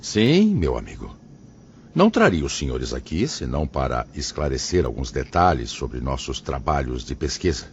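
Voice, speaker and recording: Male actor for venerable Brazilian spirit mentor: very low, expressive, paternal, formal; muffled audio drama recording. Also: normal speed. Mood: kindly. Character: venerable kindly male spirit master, gentle and solemn. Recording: muffled, noticeable background hiss.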